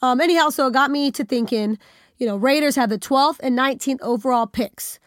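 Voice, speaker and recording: High-voiced woman with a nasal cold. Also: a little fast, no echo, studio quality. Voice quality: slightly nasal. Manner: upbeat, chatty, laughs easily. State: has a cold. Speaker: sports podcast co-host. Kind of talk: casual sports chat.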